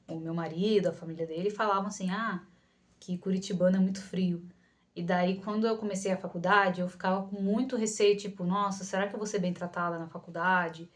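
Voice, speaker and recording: A young adult Brazilian woman with a Carioca accent, answering followers' questions in an informal, conversational way, often checking in with listeners. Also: mid-range voice. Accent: Carioca Brazilian Portuguese. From Rio de Janeiro, Brazil. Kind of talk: casual talk to camera, Q&A.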